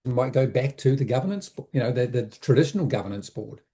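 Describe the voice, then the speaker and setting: A man with a notably dark, soft-edged voice at an ordinary male pitch. He explains things conversationally, like an advisor being interviewed. His delivery is reflective, circling back and rephrasing as he goes. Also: lively intonation. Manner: reflective, halting, repeats words and rephrases as thinking aloud. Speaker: interview guest, business advisor.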